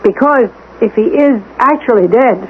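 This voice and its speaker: A woman's voice: on the high side, normal speed, lightly acted. An actress playing a composed, persistent amateur sleuth in a radio drama, speaking precisely and insistently as she challenges and probes. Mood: earnest, reassuring. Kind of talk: 1970s radio drama acting. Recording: tinny, noisy old radio broadcast recording.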